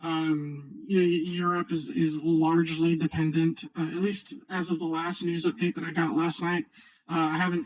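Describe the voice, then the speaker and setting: A man speaking through a voice changer, giving casual, halting, unscripted screen-share commentary as a video host. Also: on the high side, low-quality recording. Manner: halting, rambling, unscripted delivery. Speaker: video host, new finance YouTuber.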